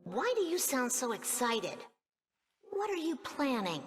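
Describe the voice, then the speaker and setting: A woman's voice: high voice, big pitch swings, measured pace. A woman voicing a young, inquisitive and wary sidekick in a video game, questioning and skeptical. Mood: wary, curious. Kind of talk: video game cutscene acting. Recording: reverberant hall, faint noise.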